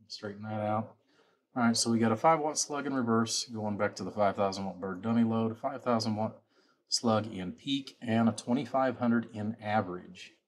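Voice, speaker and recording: A man's voice: on the low side, moderate pace. A man giving a casual, rambling workbench demonstration in a folksy, informal way, like a builder showing his work. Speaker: custom amplifier builder presenting his work.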